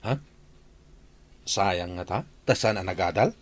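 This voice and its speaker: A man's voice: low voice, unhurried, no echo. A man playing a curious, gossiping mansion worker in an Ilocano radio comedy drama. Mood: curious, regretful.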